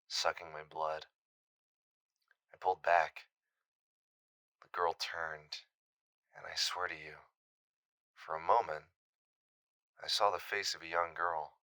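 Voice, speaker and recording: An actor playing a shaken, haunted man in an audio drama, recounting a terrifying encounter in a halting, hesitant voicemail monologue. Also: extremely low pitch, bright, forceful tone, no echo, clean studio sound, full character voice. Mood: haunted, horrified.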